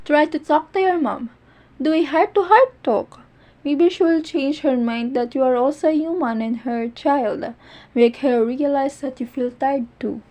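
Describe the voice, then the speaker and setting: A woman as a concerned schoolteacher, fairly high voice. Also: measured pace, a little mellow. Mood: kindly, advising. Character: concerned, kindly female schoolteacher advising a student. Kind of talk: amateur animated cartoon dialogue.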